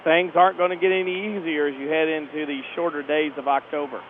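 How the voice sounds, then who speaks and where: A man's voice: on the high side, fast. A man working as a color commentator, giving conversational live sports analysis from a school arena over a phone-like line. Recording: phone-like line, steady venue noise.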